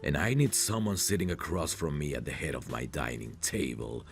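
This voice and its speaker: A man playing a possessive, protective mafia boss in an intimate audio roleplay, self-assured and coaxing, with a gravelly voice. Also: soft background music. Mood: reassuring, persuasive. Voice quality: very deep, gravelly. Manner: slow, deliberate, self-assured, coaxing with pet names.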